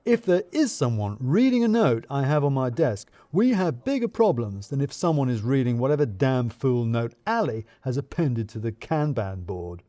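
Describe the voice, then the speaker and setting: A narrator, a man, puts on a blunt, opinionated office bloke from an audio story. He complains loudly and indignantly, with rude conviction, in a dry, close recording. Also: medium pitch, big pitch swings, normal speed, hardly any pauses, no room sound, natural voice. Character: loud, rude, opinionated male office colleague.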